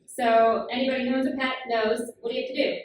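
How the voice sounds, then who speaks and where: A woman leads a children's Bible class, patiently quizzing the kids and filling gaps with "um". Her voice sits slightly high. She is heard from far off in a heavily echoing room with clear background noise. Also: level tone, quick pace. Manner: patient, questioning, frequent "um" fillers. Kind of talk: classroom teaching with questions to children. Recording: very echoey room, far from the mic, noisy.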